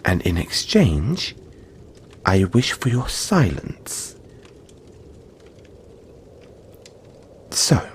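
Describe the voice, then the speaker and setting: A man voicing a gentle, cuddly, long-lived yeti in an intimate audio roleplay, speaking reassuringly with breathy, dramatic pauses. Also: medium pitch, a little hiss or hum. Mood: reassuring, earnest.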